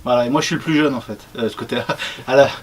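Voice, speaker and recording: A man's voice: mid-range voice, racing, noisy background. A man who heads a radio program, casually presenting his team and the station's history, hesitating often. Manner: relaxed, hesitant with many fillers.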